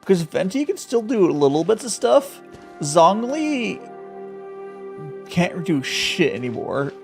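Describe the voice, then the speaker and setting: A man's voice: high-pitched, big pitch swings, takes it slowly, creaky, a little mellow. A man streaming a game, rambling and joking casually. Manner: rambling, joking, laughs often. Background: game music.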